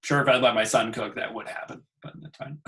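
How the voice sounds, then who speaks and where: A man, an instructor, chatting casually with his students on a video call, relaxed and chuckling as he trails off. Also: medium pitch, moderate pace. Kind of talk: casual class meeting chat. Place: home.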